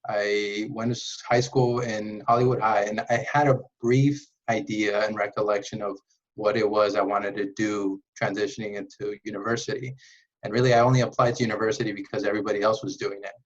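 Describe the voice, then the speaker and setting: Low-voiced young man, muffled sound. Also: brisk. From Los Angeles, USA. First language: Spanish. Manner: relaxed, laughs lightly, restarts words. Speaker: interview guest, engineer. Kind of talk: casual conversation.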